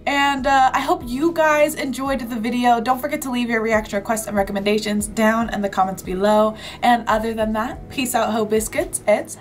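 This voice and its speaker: A woman's voice: a little high, moderate pace, hardly any pauses, soft background music. Chatty young woman vlogger. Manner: chatty, swearing, lots of "like". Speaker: reaction video host. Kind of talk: casual reaction vlog chat. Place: small room in front of green screen.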